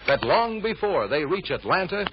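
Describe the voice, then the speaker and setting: A man's voice: sits high, very animated, moderate pace, slight background noise. Old radio announcer guy, suspenseful narration, swoopy emphatic delivery, dull lo-fi sound. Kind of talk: old-time American radio serial narration. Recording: muffled old radio recording.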